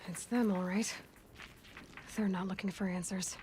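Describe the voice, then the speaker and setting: A woman's voice: medium pitch, fairly even intonation, moderate pace, heavy background noise, close to an everyday voice. An actress voicing a brave, self-reliant young female warrior in a video game, dry and musing in manner. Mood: watchful, musing. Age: young adult. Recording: loud music over the voice.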